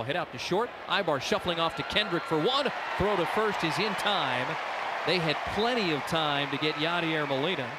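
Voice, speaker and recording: High-voiced American baseball radio announcer, man. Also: noisy background. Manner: excitable, voice rises on big plays. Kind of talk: live baseball radio commentary.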